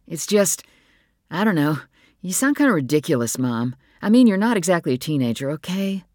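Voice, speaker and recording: A man's voice: on the high side, animated, moderate pace, no room sound, studio quality, close to an everyday voice. A woman voicing a sullen, awkward teenage son who sounds dismissive and impatient. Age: teens.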